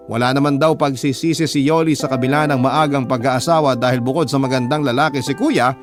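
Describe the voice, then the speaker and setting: Filipino man narrating over prominent music. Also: mid-range voice. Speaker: radio storyteller reading a listener's letter. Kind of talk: scripted dramatic narration of a love story. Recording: music playing under the voice. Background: background music.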